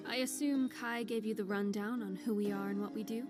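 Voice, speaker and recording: A woman's voice: medium pitch, steady tone, brisk, close to an everyday voice. A woman voicing a composed, inquisitive young mercenary in an anime visual novel. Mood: curious, probing. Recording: loud music over the voice.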